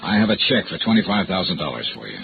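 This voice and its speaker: A man's voice: low-pitched, sing-song, quick pace, creaky, narrow, tinny audio, heavily acted. An American man playing a tough, world-weary insurance investigator in 1950s radio noir style, terse and hardboiled. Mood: terse, probing. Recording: old band-limited radio recording, loud music.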